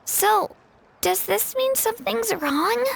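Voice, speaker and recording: Squeaky, earnest little girl. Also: expressive, takes it slowly, creaky, heavily acted. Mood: worried. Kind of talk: video game character dialogue. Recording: faint music behind the voice.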